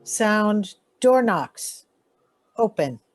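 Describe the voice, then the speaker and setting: A woman's voice: medium pitch, big pitch swings, drawn-out words, faint music behind. A woman reading short sound-effect cues aloud in a radio play performance. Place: live online video call.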